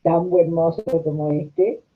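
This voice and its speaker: Older woman, Argentine accent, low-pitched voice, conversational reminiscing, narrow tinny audio. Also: level tone, slow pace. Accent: Argentine Rioplatense. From La Plata, Argentina. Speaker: interviewed social worker.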